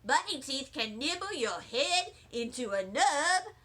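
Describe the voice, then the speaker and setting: Woman as a stubborn, high-voiced little first-grade girl. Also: very animated, a little slow, a bit bright, full character voice. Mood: defiant. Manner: emphatic, stubborn, childlike. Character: earnest, blunt first-grade girl narrator, stubborn and cheeky.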